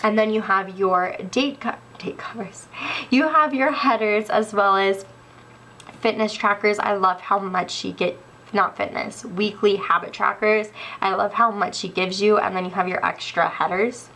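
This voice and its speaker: A young woman showing sticker kits in a casual haul video, gushing and excitable, a little stuffy. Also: medium pitch, normal speed, low-quality recording. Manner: gushing, excitable, laughs often. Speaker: planner sticker vlogger. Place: indoors at a wooden table.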